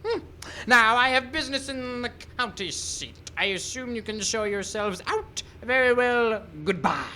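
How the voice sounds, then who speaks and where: A woman's voice: a little high, animated, moderate pace, sharp tone, a little hiss or hum. A woman playing a haughty, scheming western villain with a pompous, indignant manner in a comic radio play. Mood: haughty indignation. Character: haughty, scheming Old West villain rancher.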